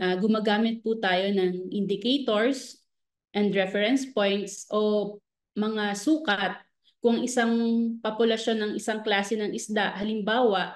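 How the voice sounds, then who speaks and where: A Filipino woman giving explanatory interview answers as a government fisheries professional, mixing in technical terms. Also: mid-range voice. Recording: roomy, echoing voice.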